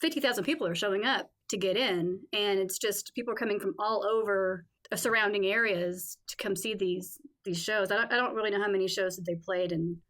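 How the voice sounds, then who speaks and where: A woman's voice: mid-range voice, brisk, few pauses, fairly bright tone. A woman co-hosting a podcast in casual film discussion, informal and emphatic, peppering her sentences with "like". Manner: informal, emphatic, heavy use of "like". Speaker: podcast co-host.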